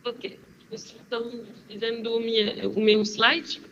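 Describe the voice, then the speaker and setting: French-accented woman, halting on a muffled call. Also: fairly high pitch, flat delivery, fairly bright tone, a little hiss or hum. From France. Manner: hesitant, many fillers and restarts. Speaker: panel speaker, design school head. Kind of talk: halting presentation in a second language. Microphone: remote video call.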